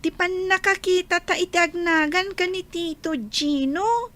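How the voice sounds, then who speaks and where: A woman's voice: extremely high pitch, fairly even intonation, unhurried, a bit bright. A woman playing a small, innocent and curious granddaughter in an Ilocano audio drama. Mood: timid, pleading. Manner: childlike, inquisitive.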